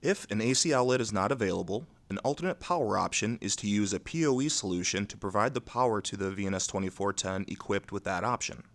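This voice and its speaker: A man reads scripted instructional narration in a measured, neutral, methodical way, going step by step. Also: on the low side, animated.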